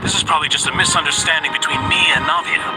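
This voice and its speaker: An actor playing an affable, evasive gentleman on trial in a video game, hesitant and placating. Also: on the high side, very fast, very noisy, close to an everyday voice. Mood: apologetic. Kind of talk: scripted video game dialogue. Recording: loud music behind the voice.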